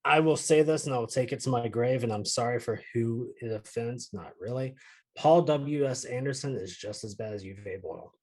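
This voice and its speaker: A man with a husky, mid-pitched voice chats casually as a podcast guest. His delivery is blunt and wry, handing down opinions with dry humour. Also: normal speed, darkish tone.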